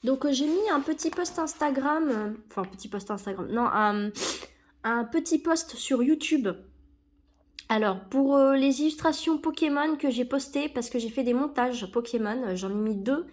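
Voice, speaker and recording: A woman's voice: high voice, moderate pace, a little mellow. Chatty young woman with a cold. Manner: rambling, self-correcting, many fillers. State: has a cold. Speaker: YouTuber opening sticker packs. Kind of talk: casual chat while sticking stickers.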